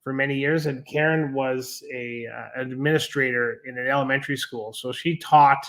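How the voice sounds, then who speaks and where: A man answers as a guest on a live video call. He is easygoing and casual, slipping in jokes. His voice sits at an ordinary male pitch. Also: fairly even intonation, moderate pace, a bit bright. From Canada. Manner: easygoing, joking, you-knows. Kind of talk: interview answers.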